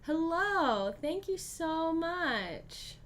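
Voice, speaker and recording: A woman streaming a solo board game, chatting casually and thinking aloud, with frequent ums and throat clearing. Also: extremely high pitch, sing-song, drawn-out words, a bit bright, slight background noise. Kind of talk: casual live-stream play-through.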